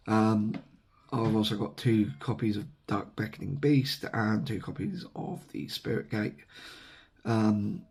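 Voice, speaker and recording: A man's voice: on the low side, darkish tone. A man with an English accent, losing his voice with a sore throat, rambling casually to camera with lots of ums and self-corrections. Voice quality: hoarse. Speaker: vlogger. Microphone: camera mic, seated at camera.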